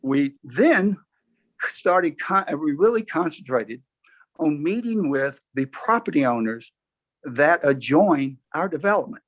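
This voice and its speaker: Hoarse guy on a lo-fi call, pitching plans to a board, keeps clearing his throat n restarting. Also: lively intonation, unhurried. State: hoarse from allergies. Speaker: developer presenting to a review board. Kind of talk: formal presentation at a public meeting. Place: remote video call.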